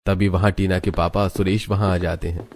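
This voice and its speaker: A man's voice: deep voice, flat delivery, moderate pace, faint music behind. A man narrating a scripted story as its narrator.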